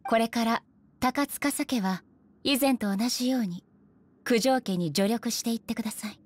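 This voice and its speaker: An actress playing a stern, dignified female ruler in an anime game, speaking formally and with measured authority. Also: a little high, dry recording, lightly acted. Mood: stern, commanding. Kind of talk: Japanese anime game voice acting.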